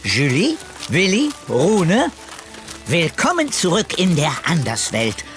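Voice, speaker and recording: Awed fantasy otherworld character, theatrical, drawn out, pitch all over the place. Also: huge pitch swings, slow, faint music behind. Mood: awestruck. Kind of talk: fantasy audio drama acting.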